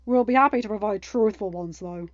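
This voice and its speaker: A woman's voice: mid-range voice, brisk, no echo, natural voice. Woman reading as a firm young king, slightly dull recording. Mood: stern. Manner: courteous, decisive, formal. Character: kind but firm young king, male.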